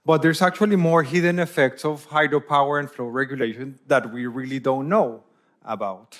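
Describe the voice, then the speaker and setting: A man's voice: medium pitch, fairly even intonation, normal speed, fairly bright tone. A man from Colombia, giving a conference presentation as a hydrologist and often repeating words as he searches for phrasing.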